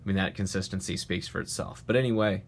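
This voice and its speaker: A man's voice: low voice, steady tone, fast talker, slight background noise. A man hosting a casual card game deck profile with a stuffy, nasal voice from a cold. He talks confidently and emphatically, with frequent "uh" and "um". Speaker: card game channel host.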